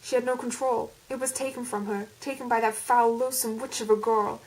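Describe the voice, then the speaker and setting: A woman's voice: fairly high pitch, steady tone, brisk, darkish tone, close to an everyday voice, poor audio. Intense American storyteller woman, put on by an amateur. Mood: anguished, vengeful. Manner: dramatic, emphatic. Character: female storyteller with American accent, intense and melodramatic. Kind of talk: dramatic story reading.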